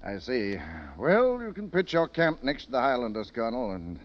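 An actor playing a firm British military officer in a radio drama. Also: male voice, medium pitch, sing-song, normal speed, highs cut off, natural voice. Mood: composed, firm. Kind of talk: 1940s-50s radio drama acting. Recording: old band-limited radio recording, faint music.

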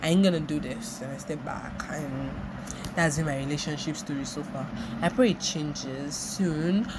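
A woman's voice: deep voice, normal speed, heavy background noise. A young Nigerian woman with a Nigerian accent, rambling reflectively on her solo podcast and often repeating herself. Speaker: podcast host. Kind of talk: casual solo monologue.

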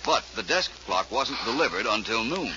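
An American actor playing a confident, smooth-talking private detective in 1940s radio drama, speaking in a deliberate, polite, wry way. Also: male voice, mid-range voice, expressive, lightly acted. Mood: patient explaining. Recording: dull, noisy 1940s radio recording.

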